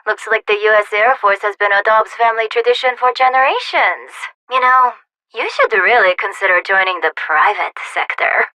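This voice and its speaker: A woman's voice: mid-range voice, normal speed, sharp tone, close to an everyday voice, poor audio. An actress playing a cocky young female drone-hacker soldier in a video game, who fires off mocking, teasing taunts and combat commands. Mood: taunting.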